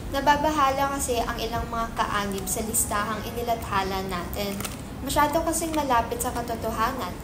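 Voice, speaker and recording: A woman's voice: a little high, moderate pace, natural voice. Woman acting a pompous, preachy church minister. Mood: preachy, commanding. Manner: formal, preachy, commanding. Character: imperious, sanctimonious church minister, demanding and pompous. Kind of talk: dramatic reading of a play. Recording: echoey room with clear background noise.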